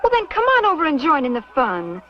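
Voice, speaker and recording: High-voiced, spirited western gal. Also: female voice, huge pitch swings. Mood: chiding, coaxing. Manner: brisk, chiding. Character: spirited, teasing young western townswoman. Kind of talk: mid-century western drama acting. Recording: muffled, faintly noisy vintage soundtrack.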